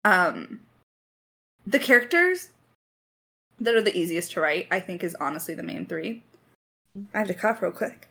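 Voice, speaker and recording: A woman's voice: on the low side, expressive, moderate pace, vocal fry, long pauses, bright, forceful tone, husky. A woman chatting casually in a creator Q&A, effusive and playfully dramatic, rambling along in slangy speech. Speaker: lead writer and voice actor of an audio drama.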